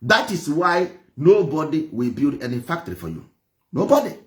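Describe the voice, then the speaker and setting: A man's voice: fairly high pitch, huge pitch swings, normal speed, fairly bright tone. A man with an Igbo Nigerian accent and Igbo as his first language, preaching and lecturing with passion, stressing points through repetition and rhetorical questions. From Nigeria, Igboland. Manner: emphatic, repeats phrases, rhetorical questions. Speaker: traditional religion teacher.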